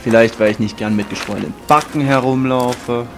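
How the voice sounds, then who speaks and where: A man's voice: mid-range voice, steady tone, brisk. A young man in scripted comic audio drama dialogue, with a grumbling, sarcastic manner. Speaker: performer playing himself in audio drama. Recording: music bed behind the voice.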